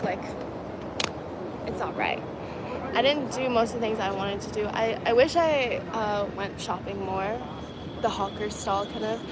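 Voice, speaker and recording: A woman chatting casually on a livestream, reading viewer comments aloud and talking to her audience as "guys". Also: medium pitch. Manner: chatty, laughs easily, talks to viewers as "guys". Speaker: vlogger reading live chat. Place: outdoors in a city at dusk. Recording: outdoor stream with clear traffic noise. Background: street and traffic noise.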